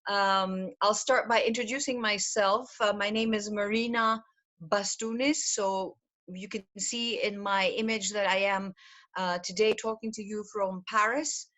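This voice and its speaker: A woman's voice: mid-range voice, fairly even intonation, a little slow, a bit bright. A middle-aged woman from Greece, a program director, presenting an online information session from home over a video call. She talks in a chatty way, with many fillers and laughs.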